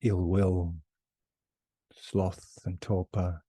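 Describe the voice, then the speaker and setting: A man leading a guided meditation as a teacher, unhurried, giving one short phrase at a time with long pauses. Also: sits low, little pitch movement, warm tone, no room sound.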